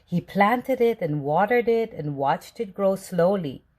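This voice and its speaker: A woman reading a children's storybook aloud with deliberate, expressive phrasing. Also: medium pitch. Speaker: storybook reader.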